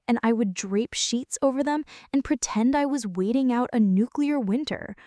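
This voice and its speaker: A woman's voice: on the high side, a little mellow, no echo, clean studio sound. A woman playing a flirty, playfully bossy friend in an intimate, scripted ASMR roleplay, speaking straight to the listener with mock-dramatic exaggeration.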